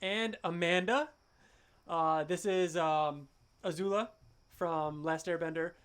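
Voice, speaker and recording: A man co-hosting a podcast, chatting casually and with excitement, thinking aloud and stumbling over hard names. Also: on the high side, takes it slowly, smooth. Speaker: podcast co-host. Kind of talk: casual chat.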